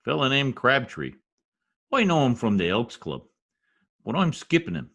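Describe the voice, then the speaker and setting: Casting: male actor as blustery, slangy husband for radio comedy, exasperated, very lively intonation. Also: mid-range voice, moderate pace. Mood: grumbling, brash. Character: blustery, grumbling husband working as census taker. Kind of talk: old-time radio comedy acting.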